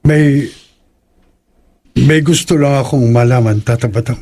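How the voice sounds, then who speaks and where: A man's voice: mid-range voice, measured pace, warm tone. Horror audio drama lead: kindly young man, average register, troubled by bad dreams. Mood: troubled, earnest.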